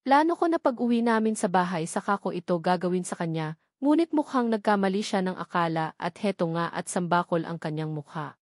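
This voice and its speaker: A woman's voice: on the low side, animated, fairly quick, no room sound, studio quality. A woman with a Filipino accent narrating a romance novel in the first person. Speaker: audiobook narrator.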